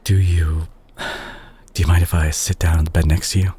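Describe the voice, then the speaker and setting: A man playing a remorseful, guilt-ridden doctor ex-boyfriend in an intimate romantic audio drama, speaking haltingly and tenderly with a husky voice. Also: low-pitched, level tone, warm tone, a little hiss or hum, natural voice. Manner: halting, emotional, with sighs and repeated words. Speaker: audio roleplay performer.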